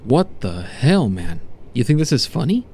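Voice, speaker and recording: Man voicing an agitated, unraveling male prisoner, mellow-toned. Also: mid-range voice, sing-song, breathy edge. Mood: irritated, defiant. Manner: agitated, halting, emphatic. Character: condemned male prisoner unraveling from irritation into awed despair. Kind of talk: dramatized audio horror story interview.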